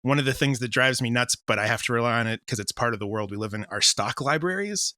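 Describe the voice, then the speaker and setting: A man's voice: medium pitch, fast, sharp tone, no echo, clean studio sound. A man speaking casually as an interview guest, explaining his creative work and voicing his own thoughts as quotes. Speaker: composer and audio drama creator interviewed as a guest.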